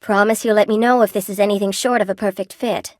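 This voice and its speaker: Video game role: female actor as lonely spider-woman seamstress, tender and eager to please, courteous old-world phrasing, medium pitch, dry close sound. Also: fairly even intonation, fast talker, no room sound, natural voice. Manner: polite, sweetly attentive, old-fashioned genteel phrasing. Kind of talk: video game character voice acting.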